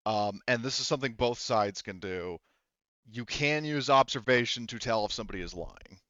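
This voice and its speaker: A man's voice: mid-range voice, moderate pace, sharp tone, no room sound, lo-fi sound. A man acting as game master, narrating a tabletop game casually, explaining things and often interrupting himself as he goes. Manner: explanatory, hedging, self-interrupting.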